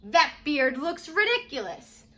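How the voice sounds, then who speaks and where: A woman voicing a stern, scornful male office boss in a children's storybook, loud and emphatic. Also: high-pitched, sing-song, unhurried, exaggerated voice.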